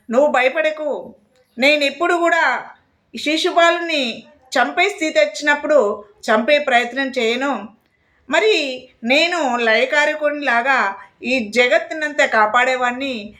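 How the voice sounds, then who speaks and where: Woman storyteller as divine nephew, firm, reassuring, protective, high voice, epic tale. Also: pushed and bright.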